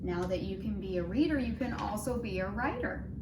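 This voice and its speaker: A woman's voice: medium pitch, expressive, a little fast. A woman teaching early reading to young children, speaking in a sing-song way, asking questions and sounding out letters and words. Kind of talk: phonics lesson for young children, read-aloud. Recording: roomy echo, clear background noise.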